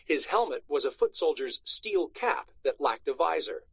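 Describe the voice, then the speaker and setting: A man reading a storybook aloud to schoolchildren in an expressive, dramatic narrating style, on a slightly muffled recording. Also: a little high, normal speed, vocal fry, slightly rough, no room sound.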